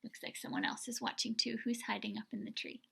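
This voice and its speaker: A woman reads a picture-book story to young children. Her voice is fairly high with a husky, creaky grain, and she phrases things expressively and deliberately. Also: flat delivery, fairly bright tone. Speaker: children's story reader and host.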